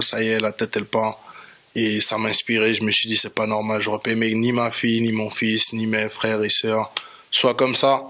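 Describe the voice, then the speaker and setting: A man's voice: mid-range voice, fairly bright tone. A man from French Guiana, a reggae dancehall artist, talking casually as a guest in a radio studio interview.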